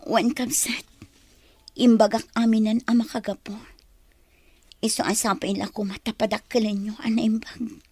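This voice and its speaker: Very high-pitched, plaintive young mother played by a woman. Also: level tone, normal speed, pauses often, darkish tone, husky, dry recording. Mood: tearful gratitude. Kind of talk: Ilocano audio drama acting.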